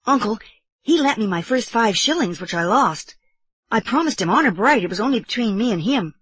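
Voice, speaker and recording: A woman's voice: on the high side, animated, brisk, a bit bright, slightly rough, no room sound, voice pushed up. A woman playing a grave, insistent young English boy with secrets, in a storybook reading. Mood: pleading, earnest.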